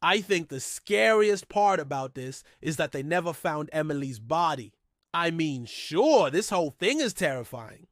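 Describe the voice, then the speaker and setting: Higher-pitched man telling a mystery story. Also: a bit bright, no echo, clean studio sound. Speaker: host of a mystery storytelling channel. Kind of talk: conversational true-mystery storytelling.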